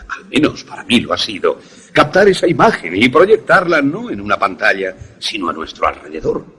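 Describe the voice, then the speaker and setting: A man playing an erudite, self-assured scientist in a suspense radio drama, explaining things formally and with deliberate pauses. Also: lowish voice, a bit bright, slightly rough, exaggerated voice. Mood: pedantic, proud. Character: erudite, self-assured male scientist who creates ghost images.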